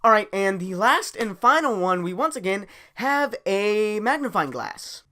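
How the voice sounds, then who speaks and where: A man casually improvising a story as host, halting and thinking aloud as he goes. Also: high-pitched, sing-song, pushed and bright.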